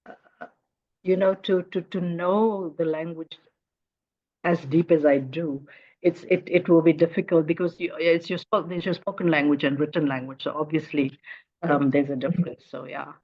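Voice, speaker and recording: A woman's voice: low voice, fairly even intonation, a little slow. A South African woman in her fifties, an Afrikaans native speaker and teacher, talking in a casual interview and often repeating words as she searches for phrasing. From Northern Cape, South Africa. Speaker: guest, teacher. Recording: dull lo-fi audio.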